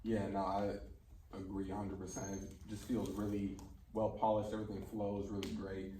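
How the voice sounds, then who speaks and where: Male actor, lowish voice, slangy casual chat, recorded at a distance. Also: level tone, normal speed, mellow, slight background noise. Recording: echoey room, distant mic.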